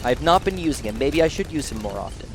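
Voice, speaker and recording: A young man with a nasal voice giving rambling, excitable commentary while playing a video game. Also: on the high side, fast talker, background noise. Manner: rambling, excitable, thinks aloud. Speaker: gaming channel host. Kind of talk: casual gameplay commentary.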